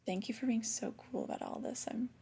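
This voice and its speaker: Breathy, half-whispering flirty boss played by a woman. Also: a little high, fairly quick, heavily acted. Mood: flirty, reassuring. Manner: gentle, hesitant, intimate delivery. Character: flirty female boss, disapproving yet coaxing. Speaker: ASMR performer. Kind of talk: soft-spoken ASMR roleplay.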